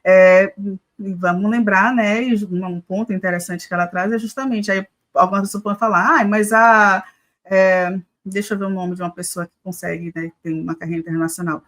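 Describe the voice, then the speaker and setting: A woman's voice: mid-range voice, steady tone, fairly quick, a bit bright. Chatty woman hosting live news. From Brazil. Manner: conversational, many filler hesitations. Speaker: news show host. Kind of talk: live commentary show.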